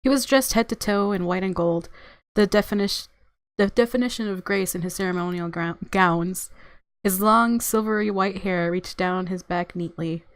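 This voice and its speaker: A woman's voice: medium pitch, moderate pace, dry recording. A woman hosting a gaming video, reading visual novel narration aloud expressively and reacting casually. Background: game music.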